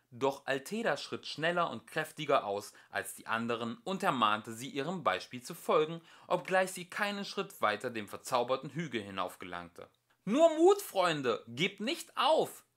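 A man reading a fairy tale aloud as an amateur audiobook narrator, with expressive storytelling. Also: medium pitch.

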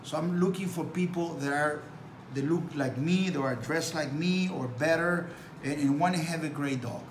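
A man's voice: fairly high pitch, moderate pace. Earnest non-native man preaching to camera. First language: not English. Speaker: dog breeder. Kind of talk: talking to camera. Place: small room indoors. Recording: echoey room with background noise.

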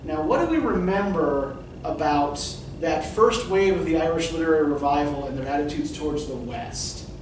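A man's voice: a little high, animated, normal speed, hardly any pauses. A middle-aged man teaching literature to a class in conversational lecture style, often checking in with "right?" and asking students questions. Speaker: literature professor. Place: classroom with whiteboard. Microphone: far camera at the back of the room. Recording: echoey classroom from far off, noisy.

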